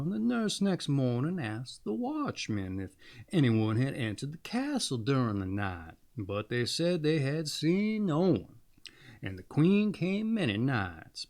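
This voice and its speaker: Slow, highly expressive male storyteller. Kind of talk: expressive fairy tale narration.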